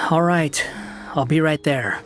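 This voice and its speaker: A man's voice: on the high side, takes it slowly, a bit bright. An actor playing an aloof, prickly young male wanderer in an anime game dub, curt and sardonic. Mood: dismissive. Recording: faint background music.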